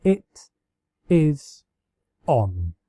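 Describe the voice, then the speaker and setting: A man's voice: fairly high pitch, sing-song, extremely slow, warm tone, slightly rough, no echo, exaggerated voice. A man voicing a regal elder pony princess in a storybook, teasing and mock-menacing as she commands. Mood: playful challenge. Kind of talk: amateur storybook character reading.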